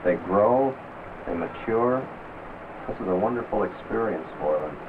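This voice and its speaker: A man's voice: fairly low pitch, lively intonation, normal speed, noisy background. Earnest man on a tinny old film track. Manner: earnest, fond. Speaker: club sponsor teacher. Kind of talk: reflective interview.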